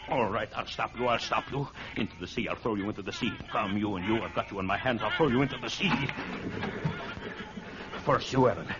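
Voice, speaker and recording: An actor playing a guilt-crazed, haunted murderer at sea in a 1940s radio horror play, raving in short repeated bursts. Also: male voice, fairly low pitch, fairly quick, natural voice. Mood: gloating, manic. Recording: noisy muffled 1940s radio recording.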